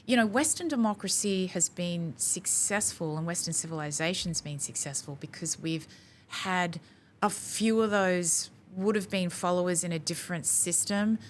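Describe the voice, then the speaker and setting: Australian woman with a lowish voice. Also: normal speed, a little hiss or hum. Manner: animated, acts out her own quotes. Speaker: podcast guest. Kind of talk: casual conversation.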